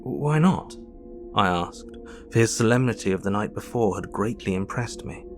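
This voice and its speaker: An actor playing a reflective Victorian doctor, narrating his diary in a measured, melancholy way. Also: on the low side, lively intonation, over music. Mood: puzzled.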